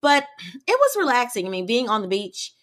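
Chatty woman in her forties, lively pitch. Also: moderate pace. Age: 40s. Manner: chatty, emphatic, addresses listeners as y'all. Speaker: podcast co-host. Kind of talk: casual chat.

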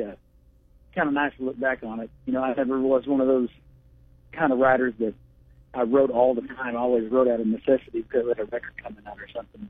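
A man's voice: medium pitch, breathy edge, dry recording. A man from Oklahoma, a touring musician, chatting easily as a guest over the phone and laughing now and then. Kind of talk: casual interview by phone. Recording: tinny phone line.

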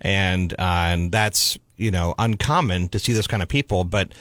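A man speaking as a throat and voice doctor, giving calm, measured, clinical explanations in an interview. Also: low-pitched, dry recording, clean studio sound. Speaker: otolaryngologist guest.

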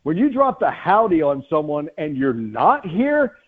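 A man's voice: fairly high pitch, very animated, measured pace, husky. An American man, a play-by-play announcer, chatting casually as a radio guest over a guest line. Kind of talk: casual joking interview chat. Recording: slightly dull lo-fi guest line.

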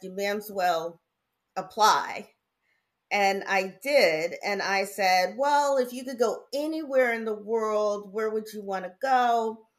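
A middle-aged woman who is a judge, raised in Connecticut, telling her story in a relaxed, articulate way as an interview guest. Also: mid-range voice. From Indiana, raised in Connecticut. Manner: articulate, measured, chatty with laughs.

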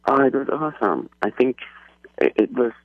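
A man from Iran calling into a radio show, speaking eagerly and haltingly with many restarts. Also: medium pitch, very animated, no room sound. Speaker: caller. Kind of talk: phone-in call. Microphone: telephone. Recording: husky tinny phone line.

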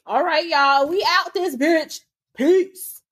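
A woman's voice: very high voice, takes it slowly, fairly bright tone. A woman co-hosting a podcast, chatting in a playful, teasing way and cursing freely. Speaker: podcast co-host. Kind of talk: casual chat.

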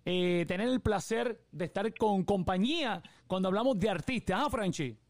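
A man's voice: high-pitched, moderate pace, no echo, clean studio sound. A Puerto Rican man hosting a live radio interview, enthusiastic and chatty, with many fillers. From Puerto Rico. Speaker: radio host.

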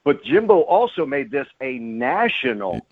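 A man's voice: medium pitch, big pitch swings, a little slow, narrow, tinny audio. Emphatic sports radio man, slightly thin sound. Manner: emphatic, repeats phrases for stress. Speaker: sports play-by-play announcer, interview guest. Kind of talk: animated sports radio interview talk.

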